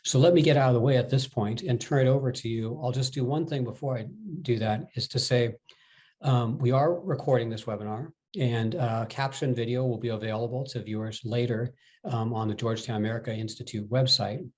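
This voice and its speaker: A man in a measured way introducing speakers and moderating a webinar over a video call. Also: medium pitch, steady tone, darkish tone. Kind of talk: formal introduction.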